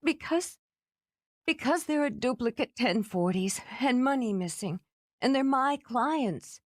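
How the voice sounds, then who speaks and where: A woman reading aloud, voicing a distraught young woman who speaks in a high, shaky, halting voice through tears. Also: animated.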